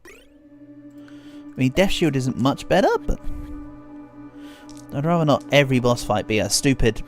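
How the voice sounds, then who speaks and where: A man's voice: mid-range voice, animated, a little slow, faint music behind. A man streaming a video game, chatting casually and rambling, sounding a bit congested with a cold coming on. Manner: chatty, rambling, self-deprecating. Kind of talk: casual game livestream chat.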